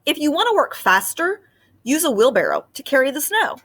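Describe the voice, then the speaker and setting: A woman voicing a gentle father mouse giving advice in a storybook. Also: high voice, very animated, brisk, dry recording, voice pushed up. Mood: advising.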